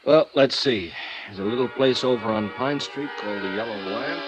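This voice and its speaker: A man's voice: mid-range voice, normal speed, dull audio, close to an everyday voice. A man playing a jittery, stammering music agent. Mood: nervous. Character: nervous male music agent, eager to please. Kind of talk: 1950s radio drama acting.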